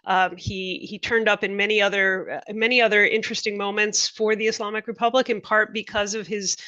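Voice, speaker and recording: A woman speaking as an analyst on a panel, giving a measured, articulate account. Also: medium pitch, level tone, sharp tone, no room sound. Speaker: policy analyst. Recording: dull, dry lo-fi sound.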